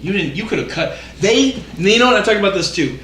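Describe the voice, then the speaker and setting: A man's voice: sits high, big pitch swings, brisk, fairly bright tone. A man co-hosting a casual movie podcast, ranting in an exasperated, emphatic and sweary way. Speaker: podcast co-host. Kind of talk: casual panel rant about movies. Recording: roomy echo, slight background noise.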